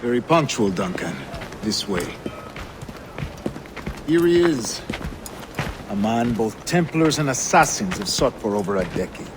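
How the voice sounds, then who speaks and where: An actor playing a commanding, calculating governor and grandmaster in a video game, speaking formally and with measured grandeur. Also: male voice, mid-range voice, big pitch swings, background noise. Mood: formal, satisfied. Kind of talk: video game cutscene acting.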